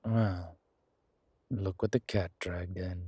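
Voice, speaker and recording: An actor playing a suave, wry incubus demon in an intimate audio roleplay, speaking in a teasing, polished, deliberate manner with a husky, breathy voice. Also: male voice, low-pitched, steady tone, darkish tone, no room sound, exaggerated voice. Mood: teasing, dry.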